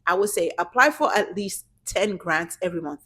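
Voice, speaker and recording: A woman's voice: lowish voice, lively intonation, moderate pace, fairly bright tone, breathy edge. A woman whose first language is not English hosts a channel and gives viewers casual, direct, encouraging advice. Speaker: YouTube channel host giving business grant tips. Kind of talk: casual tutorial talk to camera.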